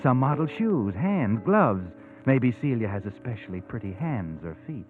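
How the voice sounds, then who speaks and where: A man's voice: medium pitch, very animated, normal speed, lightly acted. A man acting as the taunting, knowing narrator of a 1940s radio suspense drama, insinuating and dramatic. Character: sardonic, taunting male mystery narrator. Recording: tinny old radio recording, loud music.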